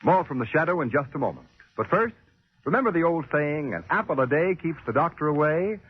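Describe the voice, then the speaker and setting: A man's voice: fairly high pitch, expressive, a little fast, slightly rough, faint music behind. A male radio announcer reading an advertisement in 1940s broadcast style. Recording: muffled, scratchy 1940s radio recording.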